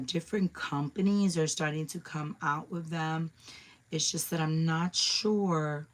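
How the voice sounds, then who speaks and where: A young woman with a slightly raspy voice, chatting casually through a crafting tutorial, with many ums and asides. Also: low voice. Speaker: craft demonstrator.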